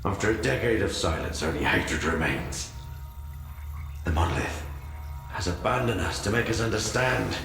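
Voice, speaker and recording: An actor playing a solemn, embittered zealot soldier in a dramatic video game monologue. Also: male voice, sits low, moderate pace, creaky, fairly bright tone. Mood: bitter, mournful. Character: solemn, embittered male zealot soldier mourning his lost faith. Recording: heavy echo with music underneath.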